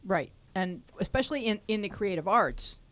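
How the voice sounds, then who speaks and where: A woman's voice: medium pitch, expressive, moderate pace, a bit bright. A woman hosting an interview, encouraging the young guests and prompting their questions. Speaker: podcast host.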